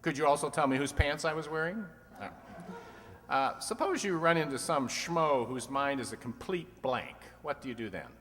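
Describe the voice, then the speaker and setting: A man's voice: a little high, animated, normal speed, a bit bright. A man playing a sly, teasing host of an old-time radio quiz show, full of dry wisecracks. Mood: wisecracking. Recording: long hall reverb.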